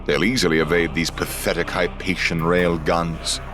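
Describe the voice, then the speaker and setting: A man with a very deep voice narrates a sci-fi audio drama with a dramatic, ominous delivery. Clear music plays beneath him. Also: little pitch movement, normal speed.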